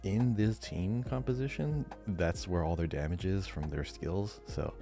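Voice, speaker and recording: Low, mellow man chatting casually. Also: unhurried, soft background music. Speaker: podcast co-host. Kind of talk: casual chat.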